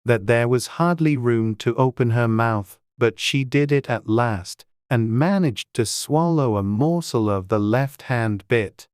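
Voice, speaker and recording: An audiobook narrator reading a classic children's story in a measured, gentle way. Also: medium pitch, clear, resonant tone, no room sound, studio quality.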